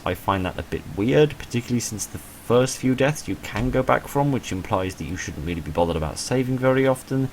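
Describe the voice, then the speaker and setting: A man giving casual game commentary as a let's-player, in a measured, understated, mildly hedging manner. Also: medium pitch, a little hiss or hum, rough recording. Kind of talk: casual game playthrough commentary, reading text aloud and reviewing.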